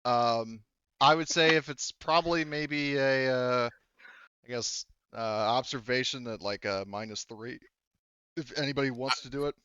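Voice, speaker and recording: A man runs a tabletop roleplaying session in an informal, improvised way. His voice is bright and smooth, captured dry on slightly dull audio. He thinks aloud as he lays out the scene. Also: mid-range voice, a little slow, no echo. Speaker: game master. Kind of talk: casual improvised tabletop game narration.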